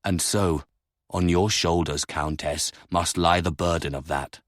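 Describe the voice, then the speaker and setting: A man voicing a grave nobleman who speaks with a heavy, unnaturally calm bitterness. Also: sits low, a little slow, no echo, studio quality, voice pushed down. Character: grave older nobleman, a duke, bitter and controlled.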